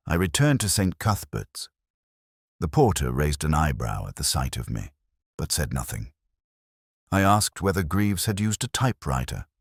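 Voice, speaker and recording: A man acts out a brooding detective telling his own mystery story in an extremely deep voice. The delivery is solemn and literary, with introspective weight and expressive swings in pitch. The sound is dry and intimate, without room echo. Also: heavily acted. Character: brooding, reflective British male police inspector narrating his case. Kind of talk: scripted literary mystery narration.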